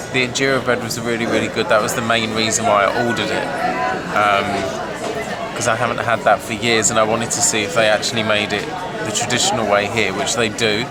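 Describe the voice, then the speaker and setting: A man vlogging a casual food review, explaining dishes in a relaxed, halting way with many fillers. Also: on the low side, over loud music. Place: busy restaurant. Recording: loud crowd chatter behind. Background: restaurant crowd chatter.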